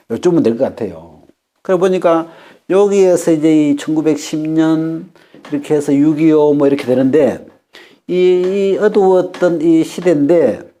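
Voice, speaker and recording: A man in his fifties preaching a Sunday sermon, halting and repeating words, then rising sharply for emphasis. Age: 50s. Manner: animated preaching, pitch swinging up for emphasis and dropping low. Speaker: pastor.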